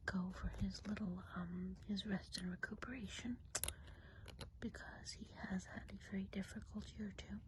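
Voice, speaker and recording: A woman playing a kindly, whimsical woodland witch in an ASMR role play, whispering softly, breathily and gently. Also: mid-range voice, natural voice, low-quality recording. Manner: slow, gentle, unhurried explaining. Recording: faint background noise.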